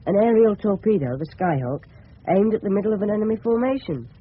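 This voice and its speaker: A man's voice: high-pitched, expressive, fast talker. An earnest English teenage boy in a radio play. He speaks politely and boyishly, with some self-consciousness. The sound is narrow and tinny, with light background noise. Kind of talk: radio drama acting with inner monologue. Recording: noisy tinny old radio recording.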